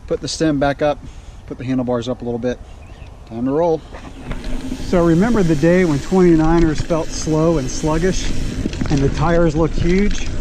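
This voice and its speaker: A man's voice: on the high side, background noise. A man talking casually and enthusiastically as a mountain bike vlogger, recorded outdoors on an action camera. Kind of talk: casual vlog chat while testing bikes. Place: outdoors on wooded bike trails. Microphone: action camera mounted on the rider.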